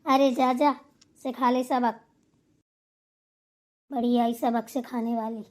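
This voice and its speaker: A woman's voice: fairly high pitch, steady tone, slow. A woman voicing a bossy, scolding elder sister-in-law in a Hindi family drama cartoon, hectoring and preachy. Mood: threatening, scornful. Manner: hectoring, sharp-tongued, preachy.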